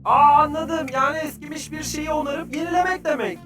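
An actor voicing a small, eager, adventurous cartoon creature with an excitable, friendly manner in a children's educational show. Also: high voice, brisk, close to an everyday voice. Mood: inquisitive. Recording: roomy, distant sound, loud music behind.

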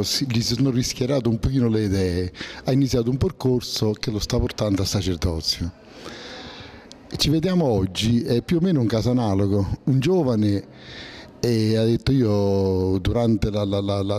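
A man in his thirties with a mellow, dark voice narrates a local TV report and puts questions to guests. His delivery is polished and even, typical of broadcast reading. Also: mid-range voice.

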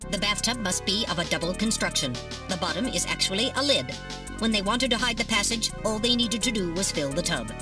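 A woman's voice: mid-range voice, expressive, fairly quick, distant mic, natural voice. A woman playing a small, polite robot navigator in an adventure game, earnest and formal, with precise phrasing. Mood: analytical, calm. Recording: echoey hall-like reverb, music behind.

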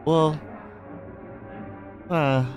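A young man giving casual, excited reaction commentary with slangy, profane exclamations into a close studio mic. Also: fairly high pitch, extremely slow, soft background music. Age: young adult. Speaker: movie reaction host. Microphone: close boom-arm studio microphone, headphones.

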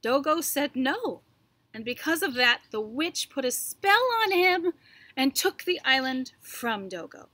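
A middle-aged woman reads a children's story aloud in an expressive, animated way, with deliberate enunciation and dramatic pauses. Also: high voice, dry recording. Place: outdoors on a backyard wooden deck. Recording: faint outdoor background noise with birdsong.

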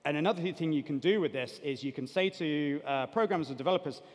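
A man in his thirties giving a live software demo as a conference presenter, explaining things informally. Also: a little high, fast talker. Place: large indoor conference stage. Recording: reverberant hall stage recording.

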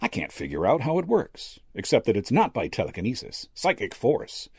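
A man's voice: mid-range voice, wildly swooping pitch, moderate pace, darkish tone, husky, dry recording, clean studio sound, pitched above the performer's own voice, exaggerated voice. A man voicing a slick, cynical younger stage magician with a cocky, slangy drawl, in an audiobook story. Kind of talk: character dialogue in an audiobook story.

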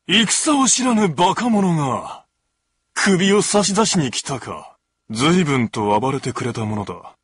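A man's voice: high-pitched, a bit bright, no echo, clean studio sound, full character voice. An actor playing a haughty, hot-tempered scheming strategist of ancient China in a video game, contemptuous and pompous as he scolds. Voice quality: theatrical, swinging from sneer to shriek. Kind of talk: theatrical video game voice acting. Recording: game music behind the lines.

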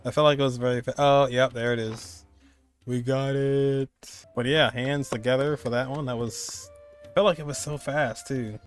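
Casting: male game streamer, twenties, medium pitch, casual reaction commentary over faint music. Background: game music and sound.

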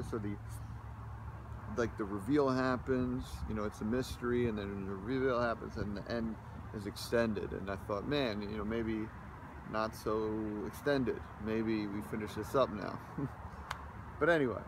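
A man in his thirties gives a casual, rambling movie review, filmed on a phone at arm's length in a parking lot. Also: mid-range voice. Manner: rambling, loose, many fillers and restarts. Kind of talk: casual selfie video review. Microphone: phone camera held close at arm's length. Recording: outdoor phone recording with clear background noise. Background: outdoor ambience with passing vehicles.

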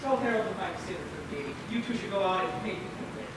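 A man's voice: high voice, expressive, rapid-fire. A young man on stage playing a dry, courteous radio host and boss in a period-style play. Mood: calm, businesslike. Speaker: actor in a school one-act play. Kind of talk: stage acting of a 1940s-style radio show. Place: school auditorium stage, recorded from afar. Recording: echoey stage, distant mic, clear noise.

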